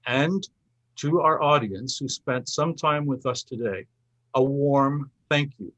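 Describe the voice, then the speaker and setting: A man with a husky, mid-pitched voice speaks as the host of a cultural festival. He reads prepared closing remarks in a formal, measured manner, phrasing them with a literary flourish. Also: dull audio. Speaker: host giving closing thanks at a festival event.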